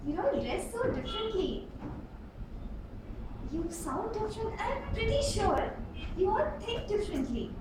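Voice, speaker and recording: Very high-voiced woman storyteller, lively and theatrical. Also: unhurried, long pauses. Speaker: contestant in a speech club tall-tale contest. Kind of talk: rehearsed storytelling speech to a live club. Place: indoor club meeting room. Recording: roomy, distant mic with clear background noise.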